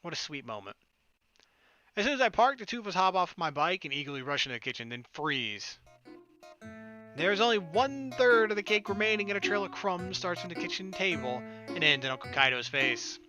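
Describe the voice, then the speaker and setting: A man's voice: high-pitched, big pitch swings, brisk, bright, forceful tone. A man playing a visual novel, reading its narration and dialogue aloud expressively with casual asides. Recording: game music behind the voice.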